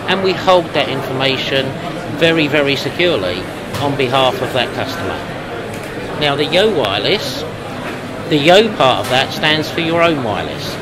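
A man in his fifties being interviewed at a trade show, explaining things in a measured, businesslike way. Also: mid-range voice. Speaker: company representative being interviewed. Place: busy exhibition hall booth. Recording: loud trade-show crowd noise. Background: loud crowd hubbub.

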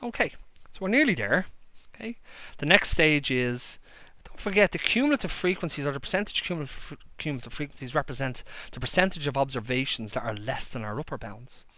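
A man's voice: mid-range voice, lively intonation, brisk, few pauses, pushed and bright, no room sound. A man teaching a maths lesson and explaining each step plainly while he draws on paper at a desk. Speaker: maths lecturer. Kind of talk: handwritten whiteboard-style maths lesson. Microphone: overhead camera above a desk.

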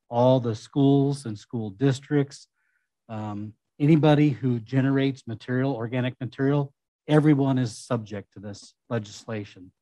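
Man, consultant presenting to council, mellow voice, casual restarts, dry sound. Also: mid-range voice, no echo, poor audio. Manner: conversational, with restarts and fillers. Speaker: waste management consultant.

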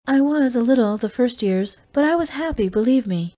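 A woman's voice: fairly high pitch, expressive, normal speed, warm tone, voice pushed up. Woman voicing a frightened, tearful young woman. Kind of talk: audiobook character voice.

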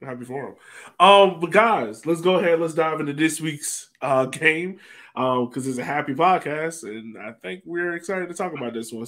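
A man's voice: fairly high pitch, fairly bright tone. A man in his thirties hosting a casual sports podcast chat over a video call. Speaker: podcast host. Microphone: webcam video call.

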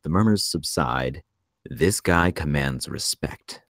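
A man narrating a screenplay at a table read in a measured storytelling delivery. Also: very deep voice, animated, fairly bright tone, no room sound, clean studio sound. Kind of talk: scripted narration of stage directions.

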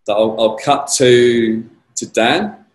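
A man with a slightly croaky voice answering questions as a guest speaker on a video call, measured and conversational. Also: mid-range voice. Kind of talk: conversational conference Q&A. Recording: roomy echo on a video call.

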